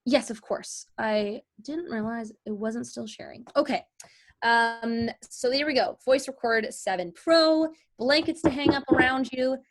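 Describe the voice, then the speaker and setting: A woman's voice: a little high, fairly bright tone. A woman giving an informal lesson as a voice-over artist, chatty and explanatory with emphatic points. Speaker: voice-over artist teaching a class. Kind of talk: informal instructional talk. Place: indoors, online video call.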